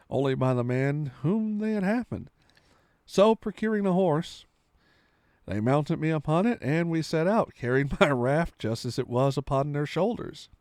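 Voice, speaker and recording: A man's voice: fairly high pitch, a little mellow, dry recording, clean studio sound. A man reading a storybook aloud with dramatic, theatrical delivery, sometimes stumbling and correcting himself.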